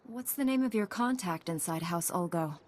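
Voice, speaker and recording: A woman's voice: on the low side, expressive, moderate pace, darkish tone, natural voice. Woman as a composed, resolute female knight. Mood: firm. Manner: composed, formal, firm. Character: resolute, principled young female Jedi knight. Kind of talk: scripted video game dialogue.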